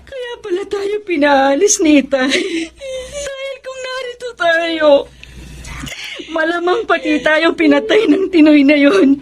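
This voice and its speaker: A woman's voice: extremely high pitch, expressive, slow, full character voice. An actress playing a grieving, devoted mother in a melodramatic radio drama, crying as she speaks. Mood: sobbing grief.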